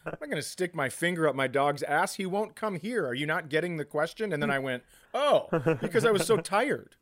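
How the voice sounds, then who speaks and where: A man's voice: a little high, expressive, brisk, fairly bright tone, dry recording, studio quality. A man co-hosting a comedy podcast, telling stories casually with crude, exasperated rants. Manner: crude, profane, exasperated ranting.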